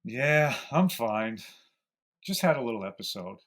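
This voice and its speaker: A man playing an aging, weary, gentle mouse who speaks as a calm, fatherly mentor in an audio drama. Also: medium pitch, a little slow. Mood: weary, reflective.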